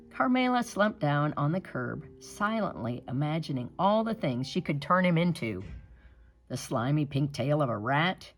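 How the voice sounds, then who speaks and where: Woman storyteller, picture book read-aloud; low-pitched, highly animated, light music bed. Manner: expressive, dramatic storybook narration.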